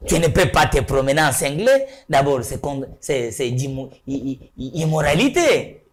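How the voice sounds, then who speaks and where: A man's voice: a little high, sing-song, moderate pace. A man from Kasai, a studio guest making emphatic rhetorical points in a political talk show. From Kasai, DR Congo. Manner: emphatic, rhetorical, repeats points and asks "hein?".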